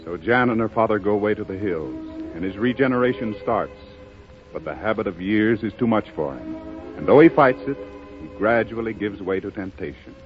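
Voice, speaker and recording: Casting: male storyteller for vintage radio melodrama, scripted and dramatic, lowish pitch, lo-fi broadcast sound. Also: expressive, brisk. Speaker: narrator. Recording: muffled lo-fi 1930s radio broadcast. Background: faint music.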